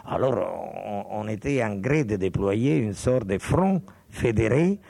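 An older man with a background tells stories in a meandering, digressive way, often losing the thread and coming back to it. His voice sits a little low and has a gravelly edge. The sound is dry and close. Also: animated, a little slow, warm tone, dry recording. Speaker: guest. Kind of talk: reminiscing in conversation.

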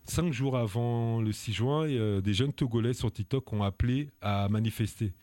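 Man, activist guest, halting, lots of fillers, indignant, dry close sound. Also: mid-range voice, dark tone, dry recording. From France. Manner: hesitant, halting, many fillers and restarts; earnest and indignant. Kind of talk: radio interview testimony.

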